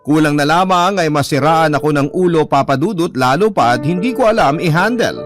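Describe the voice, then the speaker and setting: A man's voice: on the high side, brisk. A man with a Filipino accent reading a first-person love story as sorrowful, earnest dramatic narration. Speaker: radio drama letter reader. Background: background music.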